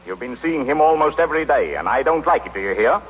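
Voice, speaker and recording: An actor playing a gruff, grumbling older businessman and father in an old-time radio comedy. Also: medium pitch, very animated, fast. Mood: indignant. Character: grouchy, blustering older businessman husband and father. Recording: muffled, echoing old radio recording.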